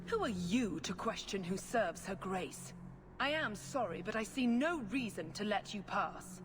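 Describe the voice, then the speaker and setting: A woman's voice: medium pitch, lively intonation, unhurried, over music, close to an everyday voice. An actress playing a cold, righteous, scheming female cleric in a video game, speaking formally and with condescension. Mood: cold, condescending. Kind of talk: video game cutscene acting. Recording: clear background ambient noise.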